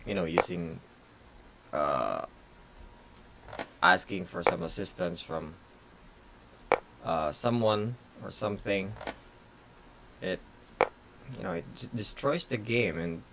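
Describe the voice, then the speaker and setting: A chess grandmaster whose first language is Tagalog, streaming blitz games and thinking aloud in short, halting phrases full of fillers. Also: male voice, on the low side, big pitch swings, creaky, heavy background noise. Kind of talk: casual live-stream commentary.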